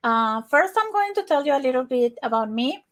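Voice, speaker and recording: A woman's voice: high-pitched, animated, moderate pace. A woman from Venezuela with a Venezuelan Spanish accent, giving an informal tech workshop presentation at an online conference.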